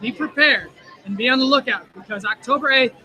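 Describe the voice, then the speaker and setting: Young guy wrestler, kinda high voice, talking injuries, crowd noise all over. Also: brisk, sharp tone, poor audio. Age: 20s. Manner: earnest and self-assured, plain talk. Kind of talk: casual interview. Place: outdoors at a wrestling show.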